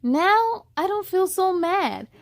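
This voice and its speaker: A woman reading as an earnest young girl in a children's storybook, slowly and expressively. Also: extremely high pitch, very animated, no echo, studio quality, pitched above the performer's own voice, heavily acted. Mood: earnest, calm. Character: young girl narrator learning to manage anger. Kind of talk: children's read-aloud in first person.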